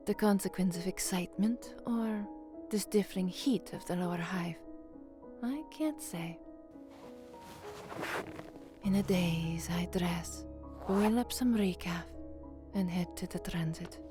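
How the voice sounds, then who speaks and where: An actress playing an anxious, earnest young woman from the slums in a dramatic first-person audio story, measured and introspective. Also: lowish voice, over music. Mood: weary, tense.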